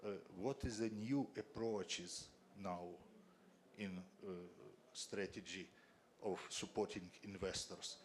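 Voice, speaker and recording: Man asking haltingly, low voice. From Ukraine. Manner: halting, searching for English words. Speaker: audience questioner, private investor. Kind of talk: question from the floor at a panel.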